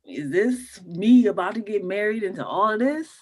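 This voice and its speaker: A woman's voice: mid-range voice, lively intonation, moderate pace, slightly rough. A woman acting in a table read as a blunt, protective, skeptical friend of the groom, talking in direct, casual slang. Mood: insistent concern.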